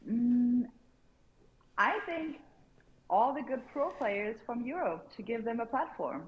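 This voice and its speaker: A woman's voice: on the high side, expressive, takes it slowly, muffled recording, slight background noise. A woman, a pickleball content creator guesting on an interview show, chatting casually and laughing often.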